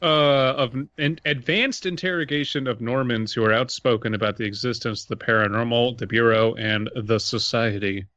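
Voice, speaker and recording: Man, mid-pitched, crisp bright edge, dry close sound, podcast co-host, casual joking, reading aloud. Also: fairly even intonation, moderate pace, few pauses, dry recording, muffled recording.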